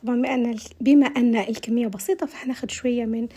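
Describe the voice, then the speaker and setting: A woman's voice: on the high side, fairly even intonation, racing, mellow, breathy edge. A woman with a Libyan Arabic accent and a tired voice, chatting viewers through a recipe step by step in a casual voice-over. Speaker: cooking vlogger.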